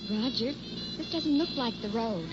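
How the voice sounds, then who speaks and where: A woman's voice: fairly high pitch, lively intonation, normal speed, loud music behind the voice, lightly acted. An actress playing a nervous young newlywed wife who pleads with her husband, in 1960s radio-drama style. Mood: worried. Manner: anxious, pleading. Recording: muffled old radio recording.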